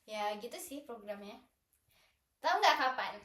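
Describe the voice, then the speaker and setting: A young woman co-hosts a casual group chat in a giggly, playful way. Her voice sits somewhat high, and the room adds clear echo. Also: expressive, unhurried, fairly bright tone. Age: young adult. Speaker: student association podcast co-host. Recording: roomy, echoey indoor recording.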